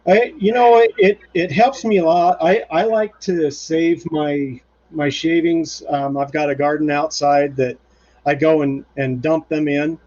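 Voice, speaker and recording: Male woodturner host, slightly high voice, loose and rambly, home-shop stream with dull audio. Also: steady tone, a little slow, darkish tone. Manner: rambling, many fillers and restarts. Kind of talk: casual live demonstration. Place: home woodshop.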